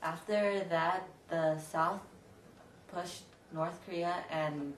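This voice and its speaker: Young female student reporter, low voice, hesitant and casual, recorded at a distance with faint noise. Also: roomy sound. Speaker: student playing map reporter. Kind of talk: amateur newscast presentation.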